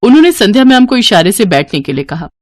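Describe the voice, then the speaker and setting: A woman narrates a scripted story. Her voice has an average female pitch and is recorded dry, with very little room sound. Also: very animated, fast talker, darkish tone, no echo.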